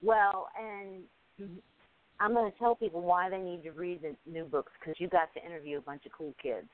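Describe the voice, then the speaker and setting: Chatty higher-voiced male host on a tinny line. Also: fairly even intonation, moderate pace. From Alabama. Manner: chatty, joking, loses his train of thought. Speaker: interview host. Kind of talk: casual conversational interview.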